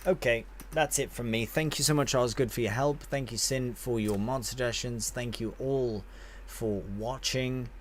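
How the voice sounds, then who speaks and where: A man's voice: medium pitch, fairly even intonation, normal speed, few pauses, slight background noise. A man streaming a game live, chatting casually and rambling as he answers viewers with friendly banter.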